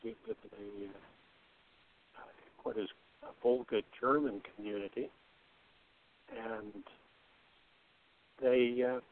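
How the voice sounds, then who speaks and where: A middle-aged man talking casually and reflectively in a group discussion, in a halting way. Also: mid-range voice, creaky. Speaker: radio play cast member. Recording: thin phone-like call audio.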